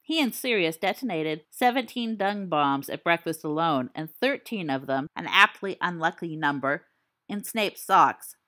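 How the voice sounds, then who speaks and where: A woman narrating a fan-fiction audiobook at an even, descriptive pace. Her voice is bright and clear, and her pitch moves up and down with a lively lilt. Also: normal speed. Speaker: podfic reader.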